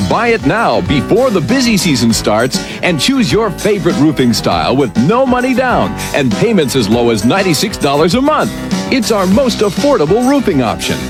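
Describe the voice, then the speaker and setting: A man reading a scripted commercial pitch as an announcer. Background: guitar music bed.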